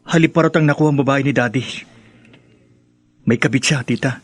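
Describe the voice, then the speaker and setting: An actor playing an angry, bitter young adult son in a melodramatic Filipino radio drama, with a confrontational delivery and a Filipino accent. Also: male voice, medium pitch, a little fast, slightly rough, no echo, close to an everyday voice. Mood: bitter, aggrieved.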